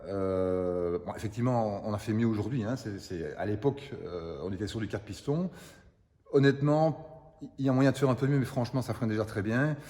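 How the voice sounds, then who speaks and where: Older man, low and fast, hesitant car-restorer talk, long reverb around him. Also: dark tone. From Italian roots. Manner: hesitant, repeats words, frequent fillers. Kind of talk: casual interview, explaining a car.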